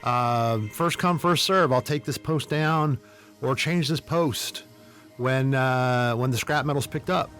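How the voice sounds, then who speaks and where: A man's voice: mid-range voice, slow, a bit bright, soft background music. A man hosting a podcast, telling humorous stories in a casual, wry, tongue-in-cheek way. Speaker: podcast host. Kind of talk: casual humorous storytelling commentary.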